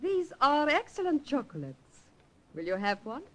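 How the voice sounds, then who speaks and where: A woman's voice: high-pitched, very animated, moderate pace, noisy background. An actress playing a cunning underground woman, cool and teasing.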